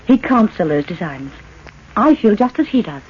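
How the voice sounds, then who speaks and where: A woman's voice: medium pitch, sing-song, normal speed, natural voice. A woman playing a polite, loyal wife in a 1940s radio drama. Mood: earnest. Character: polite, loyal wife standing by her husband. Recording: dull, noisy old radio broadcast.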